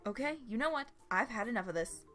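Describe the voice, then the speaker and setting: A woman voicing a prim, stuffy young man who gets flustered, in an animated story reading. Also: fairly high pitch, fast talker, soft background music. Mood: flustered, indignant. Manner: pompous, stammering when flustered.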